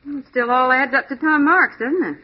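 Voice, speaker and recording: An actress playing a plucky, eager, stubborn detective's assistant in a 1940s radio drama, with a perky, insistent delivery. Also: female voice, high voice, moderate pace. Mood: wry, curious. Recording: muffled, lo-fi old radio recording.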